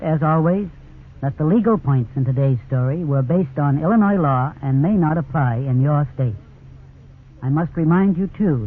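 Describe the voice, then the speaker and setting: A man who is a law school dean gives formal, advisory commentary on a radio program. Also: mid-range voice. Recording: tinny old radio broadcast, faint hiss.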